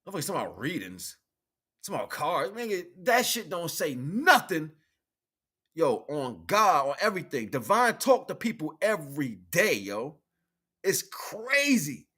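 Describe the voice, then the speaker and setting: A man's voice: on the high side, sing-song, a little slow, bright, forceful tone, breathy edge. An American man giving a casual, off-the-cuff spiritual monologue in a slangy, streetwise, emphatic way, often repeating his points. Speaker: streamer giving spiritual teachings.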